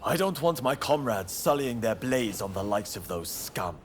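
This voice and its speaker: A defiant young samurai rebel played by a male actor, proud and intense. His pitch moves widely as he speaks in bold video game cutscene style. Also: lively intonation, normal speed, slight background noise, lightly acted. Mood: grudging resolve.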